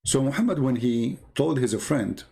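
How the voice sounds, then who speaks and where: A man who is not a native English speaker gives informal, mocking commentary as he retells and reads out stories, in a halting, repetitive way. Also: medium pitch, expressive, vocal fry, dark tone. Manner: sardonic, mocking. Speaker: commentator presenting religious texts. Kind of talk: informal polemical commentary, retelling and reading aloud.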